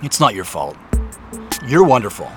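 Low-voiced, harried man, music behind. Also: big pitch swings, normal speed. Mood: harried, apologetic. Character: harried, apologetic man hiding his part in a scandal. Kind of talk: naturalistic audio drama phone conversation.

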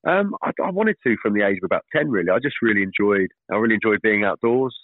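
A man in his thirties, a professional cricketer, talking casually in an interview, fairly monotone and hesitant with many fillers and restarts. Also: lowish voice, quick pace, no room sound. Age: 30s. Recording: tinny dry phone line.

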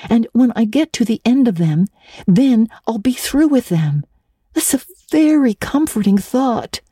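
A woman voicing an excitable, dramatic orphan girl who gushes and stammers in an audiobook. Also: a little high, a little slow, slightly rough, dry recording, clean studio sound, voice pushed up. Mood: thrilled. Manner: breathless, gushing, stammering when upset. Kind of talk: audiobook character voice.

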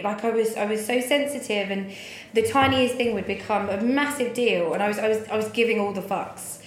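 A woman in her thirties talking candidly and informally as an interview guest, telling personal stories with plenty of swearing. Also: mid-range voice. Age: 30s. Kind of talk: candid conversational storytelling. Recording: echoey room, faint background noise.

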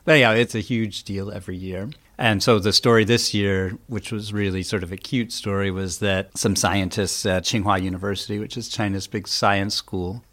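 Low, fairly even-toned man chatting casually. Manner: colloquial, wry. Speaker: journalist guest. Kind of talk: casual explanatory chat.

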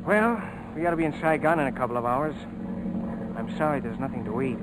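An actor playing a considerate young crewman in a 1940s radio drama, gentle and protective. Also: medium pitch, animated, a little fast, lightly acted. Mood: concerned, gentle. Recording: very noisy old radio recording, engine drone.

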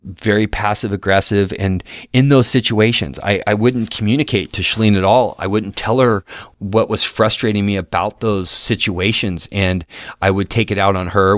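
A man's voice: on the low side, steady tone, normal speed, clean tone, dry recording. A middle-aged man talking casually and earnestly into a close mic, often restarting and repeating words as he shares advice. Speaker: podcast guest host.

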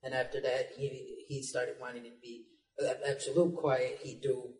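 An echoey, somewhat distant recording of a man speaking from an audience. His voice is flat in pitch, and his delivery is casual and halting. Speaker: audience member. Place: public library panel room. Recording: echoey room, distant mic.

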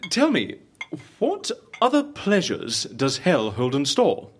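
An actor playing a fussy, inquisitive interviewer with a husky, dry delivery in an audio drama. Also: male voice, on the high side, wildly swooping pitch, slow pace, heavily acted. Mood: baffled curiosity. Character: fussy, curious male secret-agency interviewer who loves cocoa.

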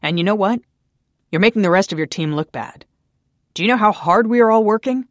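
A man's voice: mid-range voice, a little fast, no room sound, clean studio sound, natural voice. A reader voicing a blunt, scolding male coworker. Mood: angry. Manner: scolding, swearing, growing louder.

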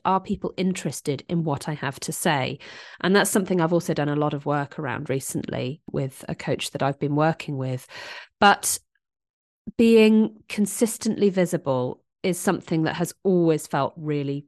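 A woman's voice: fairly low pitch, a little mellow, no echo. Congested woman hosting a podcast. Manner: candid and reflective, with frequent restarts. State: congested, stuffy-nosed from a chest infection and hay fever. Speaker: podcast host. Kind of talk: casual solo podcast monologue.

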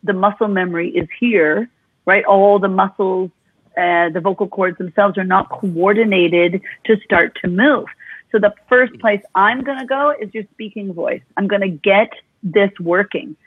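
A woman's voice: medium pitch, a little slow, breathy edge, dry recording. A woman vocal coach as an interview guest, explaining expressively and demonstrating voices, talking with her hands. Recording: tinny phone-like dry sound.

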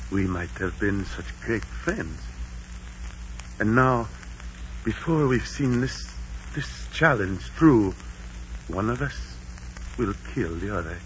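An actor playing a proud, devout Aztec emperor with a stately, solemn, formal manner in 1940s radio drama style. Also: male voice, sits low, fairly bright tone. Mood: regretful, grave. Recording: muffled, noisy 1940s radio recording.

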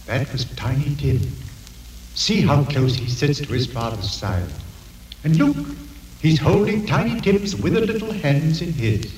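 A man's voice: a little high, expressive, a little slow, darkish tone, slight background noise. An actor playing a solemn, commanding male Christmas ghost, declaiming in old-time radio drama style. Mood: tender. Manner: declamatory, theatrical. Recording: echoey distant vintage radio, music behind.

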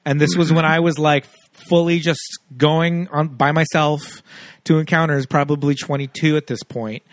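A man's voice: a little high, steady tone, moderate pace, no echo. Chatty man, dry sound. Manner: chatty storyteller, many "like" fillers. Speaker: podcast host. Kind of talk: casual film chat.

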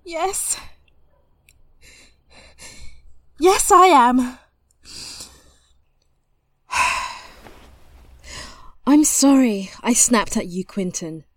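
A woman's voice: high-pitched, big pitch swings, extremely slow, pauses often, no room sound, full character voice. An actress playing a brash, impulsive young woman investigator in an audio drama. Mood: subdued. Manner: flippant, brash.

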